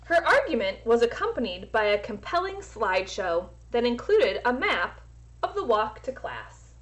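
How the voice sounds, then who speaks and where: A woman reading a children's storybook aloud in an expressive, teacherly way, pausing to explain words to young listeners. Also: on the high side.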